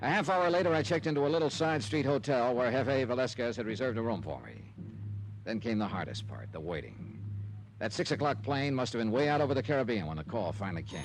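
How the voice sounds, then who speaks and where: A man's voice: mid-range voice, animated, fairly quick, natural voice, poor audio. Hard-boiled radio detective played by a man. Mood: dry narration. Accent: American. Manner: terse, dry, tough-talking. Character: hard-boiled, wry male insurance investigator narrating his case. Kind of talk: old-time radio detective drama narration and dialogue. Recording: old radio drama with background music.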